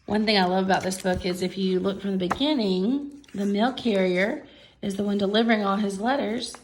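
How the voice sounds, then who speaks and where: A woman's voice: mid-range voice, brisk, slight background noise, rough recording. A woman reading a picture book aloud to children, expressively explaining the pictures as she goes. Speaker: story reader for children. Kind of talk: picture book read-aloud with simple commentary.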